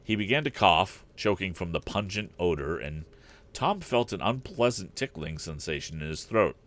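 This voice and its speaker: A man's voice: low-pitched, animated, moderate pace, fairly bright tone. A man reading a storybook aloud as host, narrating expressively.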